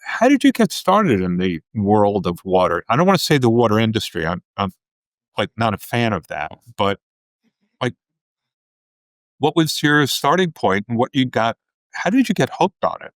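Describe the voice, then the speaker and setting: A man's voice: lowish voice, moderate pace, no room sound, clean studio sound. A middle-aged man hosting a podcast interview, chatting casually with plenty of fillers and restarts. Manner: relaxed, rambling, many fillers. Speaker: podcast host.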